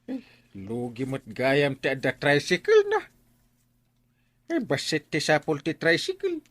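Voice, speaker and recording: An actor with an Ilocano accent playing an aggrieved, sputtering village captain in a radio comedy drama. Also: male voice, a little high, sing-song, measured pace, a bit bright, dry recording, exaggerated voice. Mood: worried. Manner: sputtering, exclamatory. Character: aggrieved, excitable male village captain who owns a tricycle.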